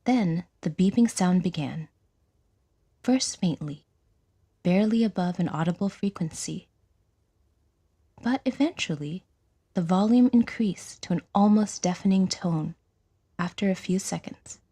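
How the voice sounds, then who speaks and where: A woman narrating a scripted audio drama in a measured, dramatic storytelling manner with a breathy voice. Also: on the low side, warm tone.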